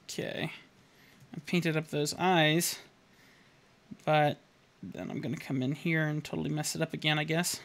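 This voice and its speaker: Chatty man with a fairly high voice. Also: fairly even intonation, normal speed, vocal fry, pauses often, a bit bright. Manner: rambling, self-deprecating, chuckles often. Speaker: caricature artist streaming. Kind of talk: casual live-stream narration while painting. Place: home studio room indoors.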